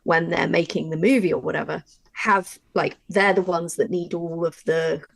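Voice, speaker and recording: Low-pitched woman with a creaky voice. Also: steady tone, moderate pace, no room sound. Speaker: workshop co-presenter and audio drama writer. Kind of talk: casual explanatory workshop talk.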